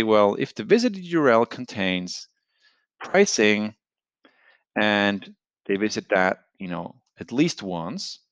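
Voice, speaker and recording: Low-voiced man presenting a software demo. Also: no room sound, lo-fi sound. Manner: conversational, unscripted, explains step by step. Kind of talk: screen-share product tutorial, explanatory.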